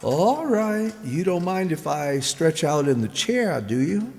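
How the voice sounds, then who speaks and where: A man plays a sardonic, weary man on the run in a radio drama. He speaks slowly with animated rises and falls of impatience, his voice ringing in a long hall reverb. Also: a little high, gravelly, full character voice. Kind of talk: radio play acting.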